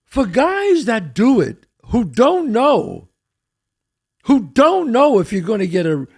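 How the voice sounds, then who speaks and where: A man's voice: extremely high pitch, huge pitch swings, slow, gravelly, a little mellow, husky. An older man hosting a casual talk show, blunt and emphatic, swearing freely. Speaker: podcast host. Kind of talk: casual chat.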